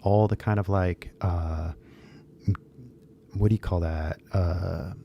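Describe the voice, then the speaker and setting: A man's voice: low voice, steady tone, slow, gravelly, highs cut off, a little hiss or hum. A man in his thirties chatting casually on a live stream, rambling with lots of fillers and switching into Japanese at times. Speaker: English teacher YouTuber.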